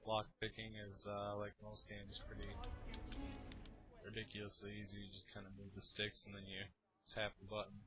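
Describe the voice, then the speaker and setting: Male gamer, lowish voice, offhand and a bit grumbly, loud game music behind. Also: monotone, moderate pace. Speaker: let's play commentator. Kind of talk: casual game commentary. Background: video game soundtrack and dialogue.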